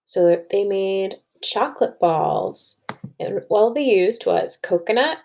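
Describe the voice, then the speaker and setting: Female teacher for children's read-aloud: slow delivery, animated pitch, thinks aloud as she explains. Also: creaky. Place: indoors at a computer.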